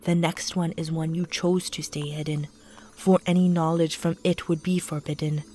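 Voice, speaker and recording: A woman's voice: low-pitched, flat delivery, normal speed, close to an everyday voice. A woman voicing a mysterious female spirit guide in a fan audio drama, speaking in solemn rhymes. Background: soft music.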